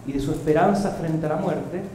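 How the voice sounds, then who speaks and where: A man, reading a prepared academic paper in a measured way, on a table mic in a seminar room. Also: on the high side, mellow. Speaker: conference speaker. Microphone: table gooseneck mic. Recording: echoey room with faint noise.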